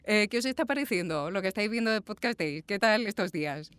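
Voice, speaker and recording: A woman's voice: medium pitch, expressive, fast talker, a bit bright, clean tone, dry recording. A woman hosting a podcast interview, effusive and chatty. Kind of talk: warm podcast presenting and interviewing. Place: small sound booth.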